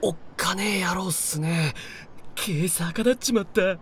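An actor playing a naive, loyal young stray tomcat, a rookie gang underling with boyish, slangy talk in a voice drama. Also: male voice, sits high, a little slow, a bit bright, slight background noise. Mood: wary, flustered. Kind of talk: Japanese voice drama acting.

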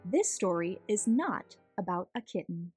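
A woman reading a children's picture book aloud as a storyteller, in a deliberate, expressive, measured way. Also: fairly low pitch, loud music behind the voice. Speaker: read-aloud storyteller, host.

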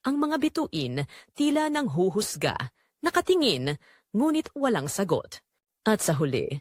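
Female storyteller for a romantic tragedy: emotive, sorrowful, highly melodic delivery, dry close sound. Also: no echo. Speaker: first-person story narrator. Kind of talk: dramatic scripted romance drama narration.

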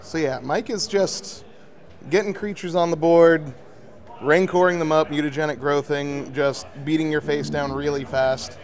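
A man's voice: a little high, steady tone, unhurried. A man giving casual color commentary on a card tournament, his voice strained and scratchy, breaking down matchups analytically. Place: card shop event.

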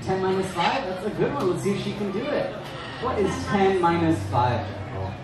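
A woman's voice: mid-range voice, sing-song, normal speed. A woman presenting a live animal show to an audience, enthusiastic and cheering. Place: outdoor animal show stage. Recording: echoey, distant, with music and crowd.

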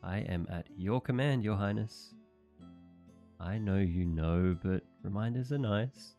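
A man voicing a loyal, dim-witted young knight in an audio roleplay, earnest and naive, over soft music. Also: lowish voice, a little slow. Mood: cheerful. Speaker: voice actor.

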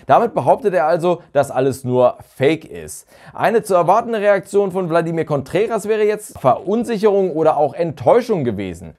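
A man's voice: a little high, darkish tone. A man, presenting a scripted review to camera as host. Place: small furnished room indoors. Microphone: lapel mic.

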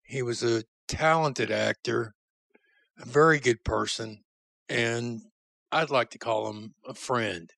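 A man giving a solemn, sincere spoken tribute as a producer, in a halting way. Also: medium pitch, gravelly, fairly bright tone, dry recording. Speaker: audio drama writer and producer.

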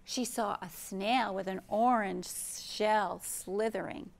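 A woman in her fifties hosting a children's storytime, speaking gently and expressively in simple phrases, with questions for young listeners. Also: mid-range voice, fairly even intonation, takes it slowly, vocal fry. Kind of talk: read-aloud storytime for young children, with chat and song. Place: indoor library program room.

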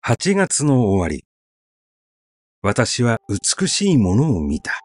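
A man reads literary fiction aloud at a slow, measured pace. His mid-pitched voice moves widely and expressively, yet stays conversational, as though he were simply telling the story. The sound is dry, with little room around it. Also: huge pitch swings, no echo, faint music behind. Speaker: narrator, literary reader.

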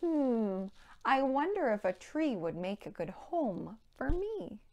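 An American woman in her thirties leads storytime for small children. Her voice is fairly high and she takes her time, speaking in an animated, clearly enunciated way with simple phrases and rhymes. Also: takes it slowly, muffled recording. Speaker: children's librarian and storytime presenter. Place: indoor children's play room.

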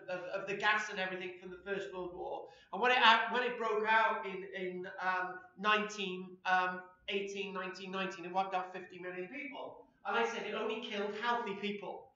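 A man's voice: high-pitched, steady tone, a little fast, hardly any pauses, bright, forceful tone, distant mic. A man in his forties giving a chatty, rambling archaeology talk with slides, full of ums and asides, recorded on a laptop webcam in a small room. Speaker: archaeology presenter. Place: small room with a projector screen. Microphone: laptop webcam below him. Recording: echoey room, dull lo-fi laptop audio.

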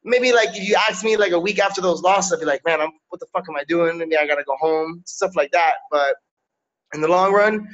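High-voiced fighter with street slang. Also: male voice, brisk, a bit bright. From Miami. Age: 30s. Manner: streetwise slang, frequent "yo" and "you know what I'm saying". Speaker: MMA fighter, guest. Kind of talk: casual interview over video call. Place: indoors, small room. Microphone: video call webcam. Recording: slightly dull lo-fi call audio.

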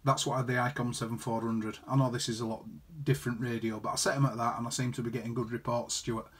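A man's voice: medium pitch, flat delivery, quick pace, husky. A man chatting casually as an amateur radio operator, reading out callsigns and figures. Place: indoor room beside a desktop transceiver.

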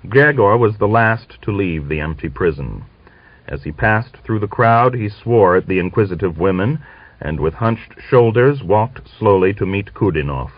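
A man's voice: lowish voice, expressive, normal speed, dry recording. A man reading a novel aloud as a scripted audiobook narrator. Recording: dull lo-fi audiobook recording.

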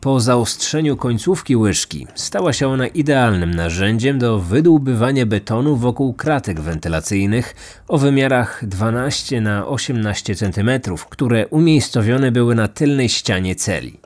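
A man's voice: mid-range voice, animated, normal speed, darkish tone. A man narrating a scripted true crime podcast as its host.

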